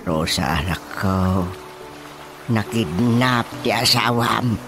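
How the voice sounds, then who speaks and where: A man with an Ilocano accent voicing a worried father and village chairman in a radio drama, speaking slow and heavy. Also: fairly low pitch. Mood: grave. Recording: radio drama with loud music behind.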